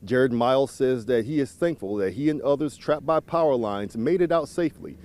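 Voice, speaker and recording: A man field reporter narrating a scripted news story in an even, measured way. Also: mid-range voice, no echo.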